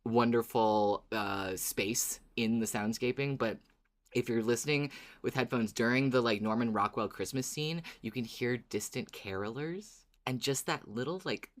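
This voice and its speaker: A woman co-hosting a podcast in casual fan chat, expressive and enthusiastic, with some swearing. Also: very deep voice, a little slow. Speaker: podcast co-host.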